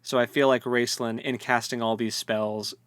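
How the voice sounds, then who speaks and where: A man with a medium-pitched voice chats as a podcast co-host in a dry-sounding recording. He retells a story informally, with slangy phrasing and a joking, conversational way of talking. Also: level tone, normal speed, a bit bright, smooth, no room sound. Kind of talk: casual book discussion chat.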